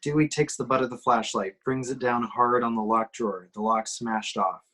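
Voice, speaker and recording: A man's voice: medium pitch, fairly even intonation, moderate pace, a bit bright. Male narrator, mid-range voice, reads stage directions for an amateur table read. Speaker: reader of action descriptions. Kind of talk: scripted screenplay narration at a table reading.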